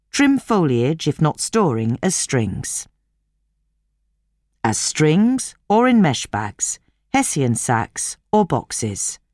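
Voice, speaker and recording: Low-voiced woman narrator, unhurried and expressive. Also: dry recording, studio quality. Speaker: narrator reading a gardening magazine article. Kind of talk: scripted narration, instructional read-aloud.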